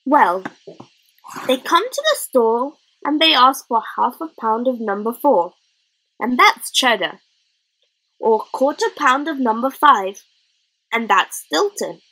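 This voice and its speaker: A cheerful little boy who was once a rat, put on by a woman reader, chattering earnestly in rough working-class grammar. The voice is high and leaps about lively, with a husky edge. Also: slow, pauses often, exaggerated voice, low-quality recording.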